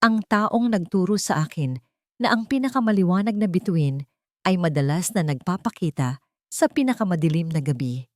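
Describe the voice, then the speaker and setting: Confident, sincere woman scientist played by a female storyteller, Filipino accent, low-leaning voice, clear and steady, heartfelt audiobook speech. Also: moderate pace, no room sound, natural voice. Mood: grateful. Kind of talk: audiobook character dialogue.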